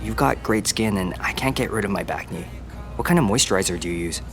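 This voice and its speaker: A man's voice: lowish voice, expressive, fast talker, a bit bright, soft background music. A man playing a bossy army captain, blunt and colloquial with casual authority. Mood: casual, authoritative.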